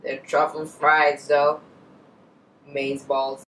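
Unhurried young woman with an Indonesian accent. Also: deep voice, little pitch movement, distant mic, rough recording. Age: young adult. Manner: chatty, self-correcting, trails off into asides. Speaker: beauty vlogger. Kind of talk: casual chat answering a tag. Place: bedroom, indoors. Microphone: camera in front, at a short distance.

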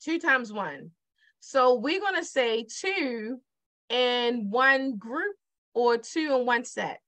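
A woman's voice: a little high, lively intonation, slow pace, a bit bright. Chatty Baltimore woman teaching math. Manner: chatty, repeats questions to the viewer, digresses then refocuses. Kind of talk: casual whiteboard math lesson.

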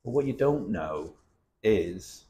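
A man's voice: fairly low pitch, animated, takes it slowly, dark tone. Teasing North West English man hosting a podcast. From Cheshire, England. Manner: teasing, banter with frequent tag questions. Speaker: podcast host. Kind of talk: casual podcast chat.

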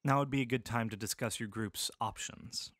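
A man voicing a calm, caring male medic soldier who speaks steadily, seriously and with compassion. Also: medium pitch, moderate pace, no room sound, studio quality, lightly acted. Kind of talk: full-cast audiobook acting.

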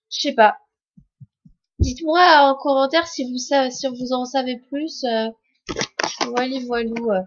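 A woman's voice: sits high, highs cut off. A young woman with a cold commenting on a game update in a chatty, hesitant way full of fillers. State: has a cold. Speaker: gaming YouTuber.

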